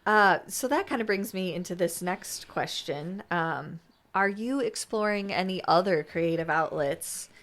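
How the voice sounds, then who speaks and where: Female interviewer, slightly lower than average pitch. Conversational, supportive and affirming, asks questions casually. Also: measured pace, fairly bright tone. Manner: encouraging, affirming listener.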